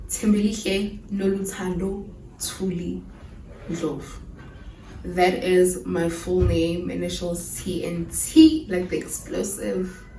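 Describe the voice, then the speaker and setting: A woman's voice: fairly low pitch, darkish tone, slight background noise. A nineteen-year-old woman chatting casually in a vlog, laughing easily and often restarting her sentences. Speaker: YouTuber. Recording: echoey room, mic far off.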